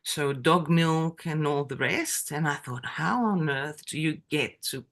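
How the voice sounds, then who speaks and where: A woman's voice: sits low, measured pace, a bit bright. A middle-aged woman with a French accent, a guest telling stories casually, full of fillers and laughter. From France. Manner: chatty, many fillers and laughs. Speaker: interview guest. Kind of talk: casual storytelling.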